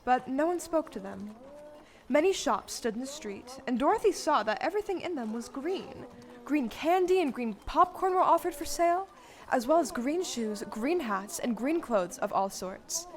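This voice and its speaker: A woman's voice: sits high, expressive, normal speed. A woman narrator reading scripted storybook narration for an audio play.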